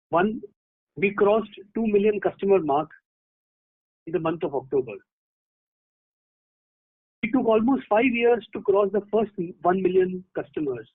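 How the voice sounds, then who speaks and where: High-pitched Indian executive on a conference line. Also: male voice, vocal fry, no room sound. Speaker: company executive presenting results. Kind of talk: prepared earnings call remarks. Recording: narrowband conference phone line.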